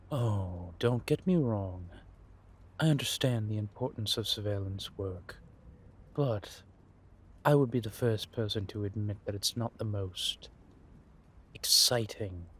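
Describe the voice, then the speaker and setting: A man playing a centuries-old vampire spymaster in a roleplay audio drama, patient and wry, speaking in measured phrases with deliberate pauses. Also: lowish voice, husky. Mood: wry, welcoming.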